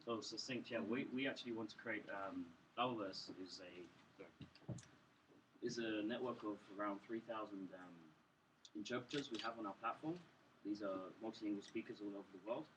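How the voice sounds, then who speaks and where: A man co-presenting an informal live pitch in a hesitant, halting way. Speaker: co-presenter, technical developer. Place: live event room. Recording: distant mic, clearly noisy room.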